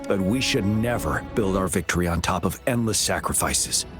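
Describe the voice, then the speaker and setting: A man voicing a composed, resolute military commander in a video game, measured and solemn, with music behind him. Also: low-pitched, expressive. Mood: earnest, firm.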